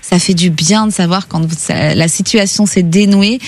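A woman's voice: on the low side, moderate pace, dry recording. A woman hosting a radio phone-in, giving callers intuitive advice in a conversational, reassuring and encouraging way. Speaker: radio phone-in host giving psychic readings.

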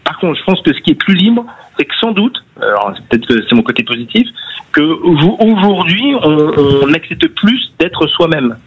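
Male caller, 50s, hesitant and halting, muffled lo-fi phone sound. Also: on the high side, sing-song, slightly rough. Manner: hesitant, many restarts and fillers. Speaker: radio caller. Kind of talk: call-in chat. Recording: dull phone-line sound.

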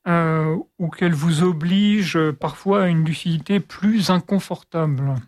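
A woman hosting an interview, putting prepared questions with frequent hesitations and a halting delivery. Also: lowish voice, a little mellow. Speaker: interview host.

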